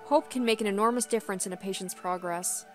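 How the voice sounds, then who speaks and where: A woman's voice: medium pitch, quick pace, faint music behind. A woman reading a first-person story aloud as a dramatic narration. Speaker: gaming video host.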